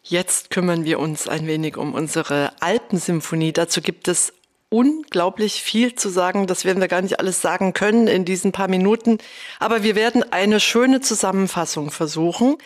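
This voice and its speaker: A woman hosting a podcast in a conversational style, with articulate, deliberately phrased introductions and questions. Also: on the low side. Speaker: podcast host, orchestra dramaturg.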